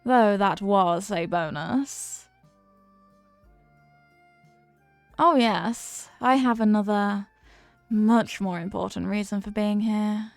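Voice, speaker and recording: A woman's voice: mid-range voice, slow, vocal fry, no echo, soft background music. A woman playing a flirty, playful stranger at a New Year's party in an intimate audio roleplay, with a coy, teasing, murmuring delivery.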